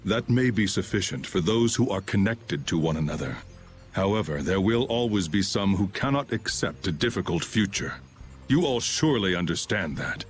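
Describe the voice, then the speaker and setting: An actor playing a grave, aloof swordsman bitter about humanity, speaking in a solemn, formal, measured way in a video game. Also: low-pitched, expressive, faint music behind. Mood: resolute, somber. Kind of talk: dramatic video game voice acting.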